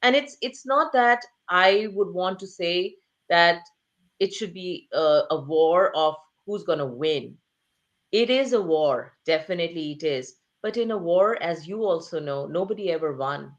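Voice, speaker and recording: An earnest woman talks through her ideas in an interview, her voice sitting on the low side. She presses her points with repeated phrases and rhetorical questions and keeps a steady, engaged delivery. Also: unhurried, muffled recording. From Asia. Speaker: author and interview guest. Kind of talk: conversational interview.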